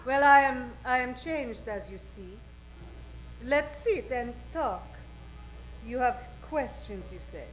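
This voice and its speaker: Slow, sultry foreign torch singer. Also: female voice, on the high side, animated, slight background noise, poor audio. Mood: coy, flirtatious. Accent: put-on Latin accent. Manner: slow, drawn-out, flirtatious. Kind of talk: old-time radio comedy acting.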